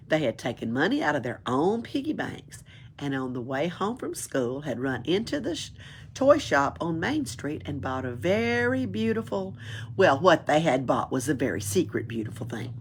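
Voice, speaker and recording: A woman reads a children's book aloud in an expressive storytelling way. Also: low-pitched, moderate pace, slight background noise. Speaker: library storyteller.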